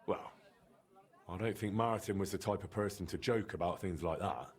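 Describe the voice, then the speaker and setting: An actor playing an earnest young blacksmith in a video game, curious and direct. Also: male voice, low voice, moderate pace, slightly rough. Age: young adult. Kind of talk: video game dialogue acting.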